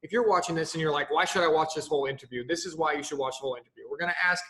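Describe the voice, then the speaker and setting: A man's voice: a little high, bright, forceful tone, low-quality recording. A man in his twenties co-hosting an online interview, eagerly speaking straight to the viewers. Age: 20s. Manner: quick, eager, addresses viewers directly. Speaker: co-host interviewer.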